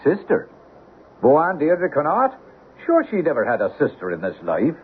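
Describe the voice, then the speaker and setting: A man's voice: fairly high pitch, wildly swooping pitch, moderate pace. An actor playing a gossipy Irish village man, wry and folksy, with a lilting Irish accent in an old-time radio drama. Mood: gossipy, knowing. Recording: muffled, noisy old radio recording.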